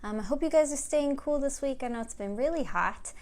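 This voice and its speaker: A woman's voice: high voice, lively intonation, a little fast, a little mellow. A woman hosting a storytime for young children, speaking in simple encouraging phrases and sing-song reading. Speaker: children's storytime host at a library. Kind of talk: children's storytime with songs and picture-book read-aloud.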